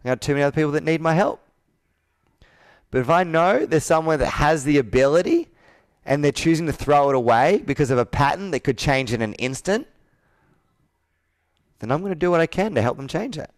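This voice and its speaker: Male sales coach, 30s, smooth voice, dry close sound, pushes with probing questions. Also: on the high side, animated, a little fast, pauses often, no echo. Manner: assertive, probing, rhetorical questions. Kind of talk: persuasive coaching on a sales call. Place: indoor office with a flip chart, on a video call.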